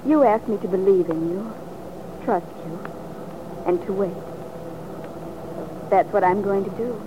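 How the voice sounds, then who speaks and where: A woman's voice: medium pitch, a little slow, natural voice. An actress playing an earnest, devoted and wistful young woman in an old-time radio drama, speaking hesitantly. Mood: earnest, resolute. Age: young adult. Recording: muffled, noisy vintage radio recording.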